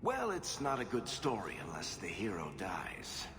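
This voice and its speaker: An actor playing a wry rogue storyteller in fantasy video game banter, dry, teasing and unhurried. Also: male voice, on the low side, wildly swooping pitch, very noisy. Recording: loud music behind.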